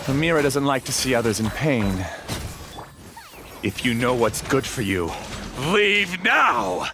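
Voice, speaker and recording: A swooping, smug dream villain. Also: male voice, mid-range voice, big pitch swings, measured pace, noisy background. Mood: gloating, then furious, defiant. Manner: theatrical, condescending. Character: smug, obsessive male villain, master of dreams. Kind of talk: English game dub, villain dialogue.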